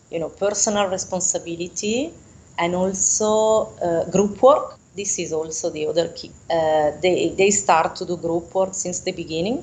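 A woman's voice: on the low side, poor audio. A middle-aged native Italian woman speaking English with an Italian accent, explaining things earnestly and searching for words in a conversational interview. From Italy.

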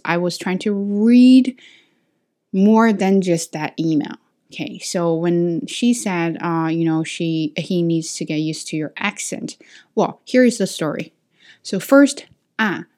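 A woman hosts a relaxed solo podcast, speaking English as a second language. Her voice sits a little low, and she thinks out loud with frequent fillers and self-corrections. The sound is picked up by her camera's microphone. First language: not English. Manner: thinking aloud, many fillers and restarts. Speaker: podcast host and teacher. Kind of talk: casual solo podcast talk. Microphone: camera mic.

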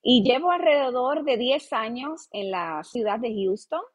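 A woman's voice: fairly high pitch, lively intonation, a little slow. A woman in her late thirties from Puerto Rico, with a Puerto Rican Spanish accent, speaking as a pastor and interview guest, earnest and humble, with frequent "eh" fillers. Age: 30s. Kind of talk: podcast interview.

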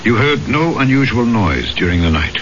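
An actor playing a stern, probing magistrate, brisk and authoritative, in 1970s radio drama style. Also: male voice, mid-range voice, sing-song, natural voice. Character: stern, probing male French magistrate, impatient and dutiful. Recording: muffled 1970s radio recording, clear hiss.

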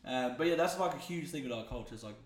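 A young man hosts a casual podcast, rambling through stories and swearing freely. His voice sits at an ordinary pitch. The room has a noticeable echo. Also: animated, fast. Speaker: podcast host. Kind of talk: casual chat. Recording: echoey room.

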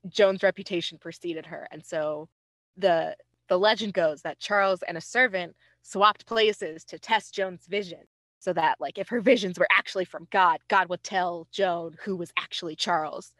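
A woman's voice: on the low side, normal speed, a bit bright, dry recording. A woman hosting a podcast, telling history in a casual, informal way with wry, self-deprecating asides. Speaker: podcast host.

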